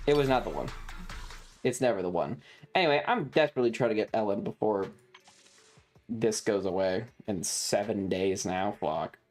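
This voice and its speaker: A livestreamer chatting casually while gaming, rambling and halting, with a wry, sarcastic manner. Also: sits low, lively intonation, a little mellow. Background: music.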